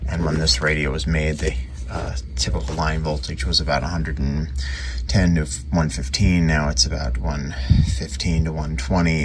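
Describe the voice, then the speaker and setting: American man, low-pitched, gravelly, casual repair commentary, offhand, unscripted. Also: background noise. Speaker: hobbyist repairer presenting radio restoration. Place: indoor workshop at a bench.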